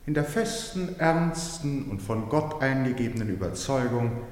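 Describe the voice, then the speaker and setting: An actor playing an eccentric, poetic former judge in a radio play, speaking with grand, deliberate self-assurance. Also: male voice, mid-range voice, expressive, a little mellow, natural voice. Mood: solemn, declaiming. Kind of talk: German radio play acting. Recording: very reverberant hall sound.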